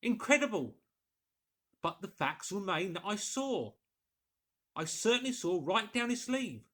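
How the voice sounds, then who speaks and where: A man's voice: sits high, very animated, unhurried, sharp tone, pitched above the performer's own voice, full character voice. Man acting a jittery, insistent village doctor. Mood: nervy, insistent. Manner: nervy, emphatic, insistent.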